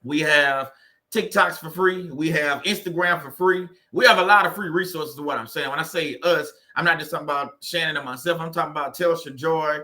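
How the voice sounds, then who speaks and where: A man with a Louisiana drawl hosts a relaxed livestream, loose and teasing as he greets viewers one by one. His voice is bright and forward, sitting a little higher than most men's. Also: fairly quick. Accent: Louisiana Southern US. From Louisiana, USA. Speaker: livestream host. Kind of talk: casual livestream chat with viewers.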